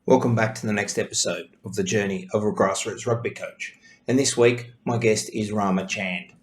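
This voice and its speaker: A man's voice: on the low side, steady tone, normal speed. A man hosting a rugby coaching podcast, reading his intro steadily and asking easygoing questions. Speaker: podcast host. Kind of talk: scripted podcast intro and casual interview.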